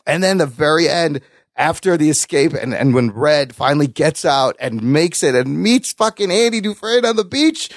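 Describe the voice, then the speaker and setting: A man's voice: on the high side, expressive, normal speed, no echo, studio quality. A man hosting a casual film discussion, talking enthusiastically and swearing freely. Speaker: podcast host.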